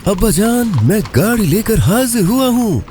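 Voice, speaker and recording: A man voicing a tiny, eager orc boy in a squeaky voice for a children's cartoon story. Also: big pitch swings, warm tone, faint music behind. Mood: proud, eager.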